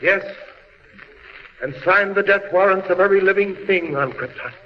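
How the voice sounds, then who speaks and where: A high-pitched, impassioned scientist from another world speaks like a prophet of doom, grave and oratorical a man acting in an old radio play. The sound is muffled, with hiss and a long reverberant tail. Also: moderate pace, natural voice. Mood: bitter resolve. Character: impassioned alien scientist warning of doom, husband and father. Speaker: radio drama actor. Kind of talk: 1940s radio drama acting.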